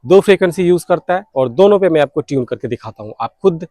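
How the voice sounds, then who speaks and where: A man's voice: a little high, expressive, quick pace, mellow, no echo, clean studio sound. A man narrating informally as a vlog host, explaining things step by step. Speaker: shortwave radio vlogger, host.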